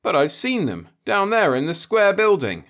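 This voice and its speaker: A man's voice: high voice, big pitch swings, moderate pace, fairly bright tone, voice pushed up, full character voice. A man voicing an earnest, somewhat impatient human space traveler who reasons persuasively in a science fiction audiobook. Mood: consoling. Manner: insistent, explanatory, persuasive.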